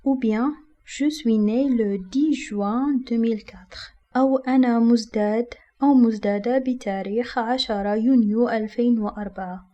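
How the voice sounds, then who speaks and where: A woman teaching a beginner language lesson, saying each example slowly and carefully, then explaining quickly in a second language. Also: fairly high pitch, steady tone, dark tone, muffled recording.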